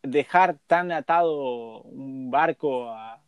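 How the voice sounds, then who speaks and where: A man's voice: medium pitch, slow, smooth, dry recording. A man, chatting agreeably and sharing anecdotes in a casual conversation.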